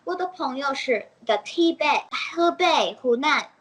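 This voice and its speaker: A teenage girl from the United States talks in a fairly high voice, halting now and then as she hunts for the right word. She chats casually in an interview and giggles between phrases. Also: expressive, fairly bright tone. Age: teens. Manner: halting, searching for words, giggly. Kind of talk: casual multilingual chat.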